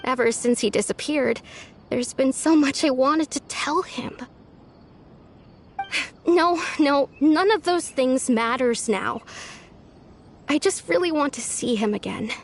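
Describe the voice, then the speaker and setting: A woman voicing a gentle, lonely young village woman in a video game, speaking softly and earnestly. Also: high-pitched, lively intonation, takes it slowly, long pauses, slight background noise, exaggerated voice. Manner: soft, wistful and earnest. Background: faint game music.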